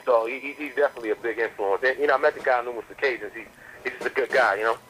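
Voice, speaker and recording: A man's voice: medium pitch, rapid-fire, fairly bright tone. A man from the southern United States, a rapper, talking casually and slangily as a phone interview guest, often repeating words as he builds a point. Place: on a landline phone call. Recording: noisy landline phone call.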